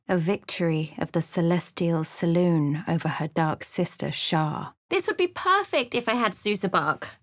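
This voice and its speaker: Wry fantasy adventurer, close and dry. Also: female voice, fairly low pitch, animated, unhurried, dry recording. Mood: animated, emphatic. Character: adventuring woman in a fantasy world, observant and wry. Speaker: voice actor for a fantasy role-playing game companion or player character. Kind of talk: scripted video game voice lines, narration and character remarks.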